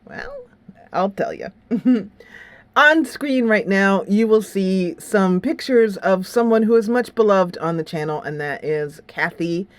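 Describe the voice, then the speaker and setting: A woman speaks as a chatty channel host reviewing games in an informal, playful way. Her voice sits at a medium pitch, recorded very dry with almost no room sound. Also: lively intonation, a little slow, no echo. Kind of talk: casual solo vlog commentary.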